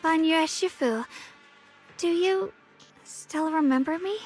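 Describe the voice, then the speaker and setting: A woman's voice: very high voice, slow, a little mellow, soft background music. A woman voicing an earnest, polite young swordswoman disciple in a video game, with a reassuring manner. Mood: earnest, respectful.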